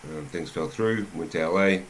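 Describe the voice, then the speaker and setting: A man with a New Zealand accent, a rugby coach telling his life story as an interview guest, in an unhurried way with many pauses. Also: low voice, a little hiss or hum.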